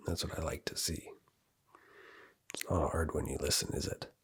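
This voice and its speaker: Whispering deep-voiced man giving commands. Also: fairly even intonation, moderate pace, breathy edge. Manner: commanding and teasing, giving orders and points. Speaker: ASMR roleplay creator. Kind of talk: whispered ASMR roleplay.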